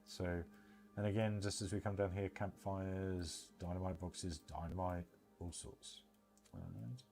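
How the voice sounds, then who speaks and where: Low, mellow British man streaming casually. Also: flat delivery, a little slow, faint music behind. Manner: informal, rambling, thinking aloud. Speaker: livestream host. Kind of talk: casual game livestream commentary.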